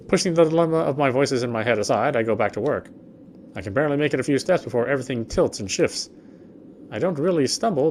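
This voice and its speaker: A man's voice: medium pitch, slight background noise. A man reading a visual novel's narration aloud as he plays it. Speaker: game player reading the text aloud. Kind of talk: scripted narration read aloud in a gameplay video. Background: game music.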